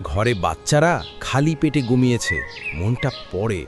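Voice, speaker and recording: Casting: male actor for weary, resilient poor cartoon villager, mid-pitched, resigned, loud music behind. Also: very animated, normal speed, lightly acted. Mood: sad, resigned. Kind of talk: acted cartoon dialogue.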